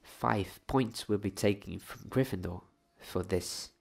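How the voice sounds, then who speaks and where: A man voicing a stern older woman professor in a storybook reading, scolding in a measured way. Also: lowish voice, gravelly, husky, full character voice. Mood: stern, disappointed.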